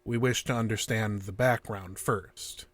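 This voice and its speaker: A cold, relentlessly calm alien interrogator in an audio drama. The voice is fairly low and recorded very dry. The phrasing is formal, clinical and repetitive. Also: male voice, measured pace, no room sound. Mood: cold, detached.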